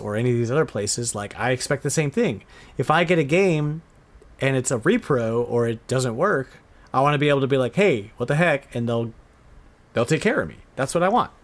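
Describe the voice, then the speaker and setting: Man, middle-aged, podcast host, casual conversational delivery, medium-pitched voice. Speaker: podcast host and retro game store owner.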